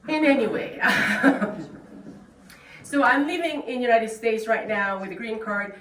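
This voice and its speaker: A woman's voice: fairly high pitch, measured pace, fairly bright tone. Middle-aged Korean-accented woman, guest speaker, earnest and plain-spoken, heard from a distance in a roomy hall. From South Korea. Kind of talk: speech at a club meeting. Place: meeting room. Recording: echoey room, distant mic, faint noise.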